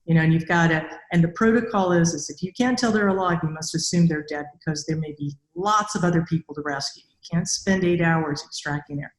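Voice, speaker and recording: A woman's voice: low voice, a little fast, hardly any pauses. A middle-aged woman, a podcast host, talking conversationally as she interviews a guest. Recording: echoey room, mic at a distance.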